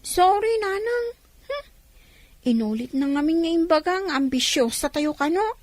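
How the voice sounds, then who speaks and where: A young daughter with a very high voice, close and dry. She is outspoken and easily hurt but respectful to her elders, in radio drama style. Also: animated, moderate pace, no room sound. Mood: hurt, pleading. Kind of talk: Ilocano radio comedy-drama acting.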